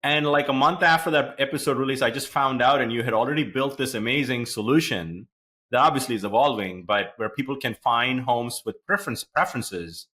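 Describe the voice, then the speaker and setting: A man hosts a podcast in a bright, forceful voice at a middling pitch. He is from India and talks in a chatty, rambling way, stacking fillers and asides as he leads into his questions. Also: fairly quick. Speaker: podcast host. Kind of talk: podcast interview hosting.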